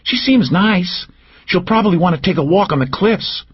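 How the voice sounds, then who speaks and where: A man's voice: sits high, moderate pace, breathy edge, muffled recording, voice pushed up. A man putting on a brash flirty woman. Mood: scheming. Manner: brash, teasing, lively. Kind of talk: audiobook character voice.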